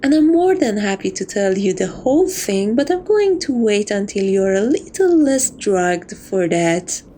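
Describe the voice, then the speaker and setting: A woman playing a seductive, possessive catgirl thief who dotes on her captive, speaking softly and teasingly in a romantic ASMR roleplay. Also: medium pitch, very animated, unhurried, a little hiss or hum. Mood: coy, confiding. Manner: soft, coaxing, teasing, doting.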